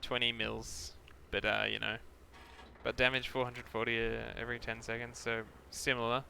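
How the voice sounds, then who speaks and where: A man's voice: mid-range voice, completely flat delivery, normal speed, creaky, sharp tone. A man streaming a game live, chatting casually in a rambling, hesitant way as he thinks aloud. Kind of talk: casual chat while gaming, reading out chat. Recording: game battle noise behind voice. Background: game artillery fire and vehicle engine sounds.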